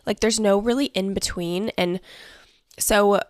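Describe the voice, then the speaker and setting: A woman's voice: medium pitch, fairly even intonation, a little slow, darkish tone, dry recording, clean studio sound. A young woman hosting a casual solo podcast, chatty and upbeat, talking with a stuffy nose from allergies. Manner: bubbly, chatty, fillers like "like" and "honestly". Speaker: podcast host.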